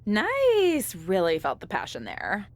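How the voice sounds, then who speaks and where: A chatty documentary interviewer in a video game. She is breezy and upbeat, with a very animated delivery at a medium pitch. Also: takes it slowly, very noisy. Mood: playful. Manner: breezy, conversational. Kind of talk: video game cutscene acting.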